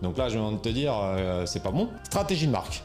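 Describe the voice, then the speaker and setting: A man's voice: on the low side, sing-song, brisk, darkish tone, faint music behind. A man coaching viewers on selling online, talking casually with slang, fillers and restarts. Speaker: e-commerce coach. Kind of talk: casual explainer video.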